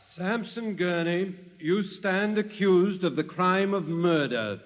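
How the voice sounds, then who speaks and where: A slow solemn judge. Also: male voice, high voice, muffled recording, music in the background. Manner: slow, formal pronouncements. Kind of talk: 1950s radio drama acting. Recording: distant old broadcast with long reverb.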